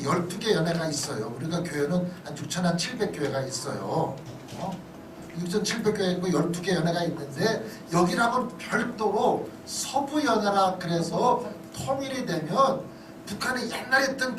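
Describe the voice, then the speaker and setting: A middle-aged man, a pastor, giving a conversational sermon-like lecture, often checking in with his listeners. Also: high voice. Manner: conversational, punctuated with short checking questions to listeners. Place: church hall. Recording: distant mic in echoey room, noisy.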